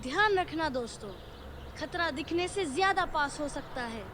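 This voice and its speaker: Wise underestimated donkey for a kids' cartoon, female performer: very high, earnest, slight background noise. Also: expressive, moderate pace, fairly bright tone. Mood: grave warning. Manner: grave, earnest warning. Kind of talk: Hindi children's animated moral story.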